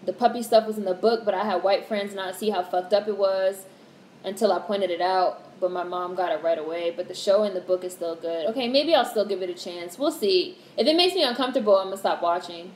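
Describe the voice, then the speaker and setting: Monotone young woman chatting with livestream viewers. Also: medium pitch, fairly quick. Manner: candid, swears casually, reacts to chat. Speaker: livestream host. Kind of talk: casual livestream chat reading comments. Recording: roomy echo with faint background hiss.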